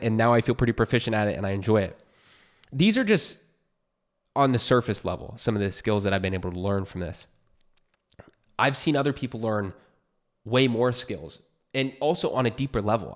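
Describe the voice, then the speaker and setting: A young adult man from California with an American accent, talking to camera in a casual, unscripted way, earnest and encouraging like a YouTuber giving advice. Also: lowish voice. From California, United States.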